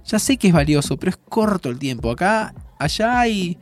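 An actor playing a needy, wistful male time traveler in an audio drama, speaking halting, emotional Argentine Spanish. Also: sits high, very animated, soft background music. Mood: hurt, frustrated. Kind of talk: naturalistic audio drama acting.

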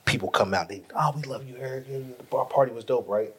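A man's voice: medium pitch, brisk, a bit bright. Stuttering man in casual podcast chat. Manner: laughing, restarting and repeating himself; says he stutters. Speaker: podcast co-host.

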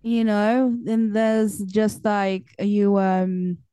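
A woman talks in an easy, rambling way, filling her pauses with ums and likes. Her voice is smooth and clear at an even middle pitch. The sound is close and dry, with no room around it. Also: no echo. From Portugal. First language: Portuguese. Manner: chatty, meandering, lots of fillers. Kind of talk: casual chat.

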